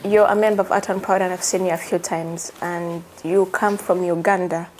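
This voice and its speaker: A woman's voice: on the low side, steady tone, moderate pace, a little mellow. Woman hosting over background noise. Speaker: host. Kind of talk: conversational on-camera interview.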